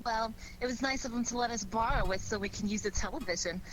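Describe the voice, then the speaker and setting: A woman plays a sleuth's American lady companion in a 1940s radio drama, affectionate and curious. Her voice is on the high side and playful, heard through a noisy vintage recording. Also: fast, natural voice.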